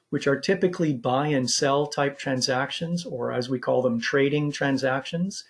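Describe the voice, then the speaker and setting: A man with a mid-pitched voice presents a software webinar. He explains things in a measured, businesslike manner, walking listeners through the material as a presenter.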